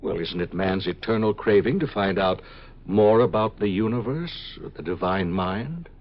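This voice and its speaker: A man's voice: on the low side, normal speed, lightly acted. A man acting an earnest, lovestruck scientist of a future century in 1950s radio drama style, ardent and persuasive. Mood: reflective. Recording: dull 1950s radio recording.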